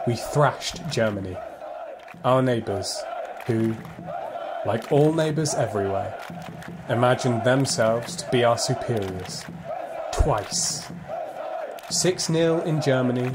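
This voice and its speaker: A man's voice: medium pitch, expressive. An actor playing a nostalgic, proud and wry Austrian football fan, telling his story theatrically in an audio play. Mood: proud, gleeful. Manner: theatrical, emphatic, with weighty pauses. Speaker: narrator. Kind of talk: dramatic first-person storytelling in an audio play. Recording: music and crowd noise behind.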